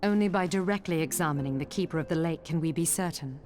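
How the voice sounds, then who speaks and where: A woman playing a composed, dutiful female knightly officer in a video game, speaking in a formal, measured, grave manner. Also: deep voice, a little mellow, close to an everyday voice. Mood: serious, resolute. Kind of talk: scripted video game dialogue.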